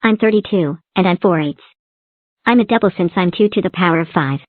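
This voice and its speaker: Bubbly number-block cartoon kid voiced by a woman, lowish, tinny dry sound. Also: fairly quick, no room sound, lightly acted. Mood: cheery. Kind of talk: fan-made cartoon voicing. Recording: tinny phone-like dry recording.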